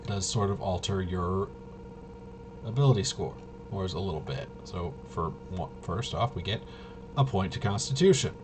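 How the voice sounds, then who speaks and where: A man hosting a tabletop game walkthrough, thinking aloud in a casual, halting way and muttering to himself. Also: on the low side. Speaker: host of a tabletop RPG video. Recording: noisy room, clearly audible background noise.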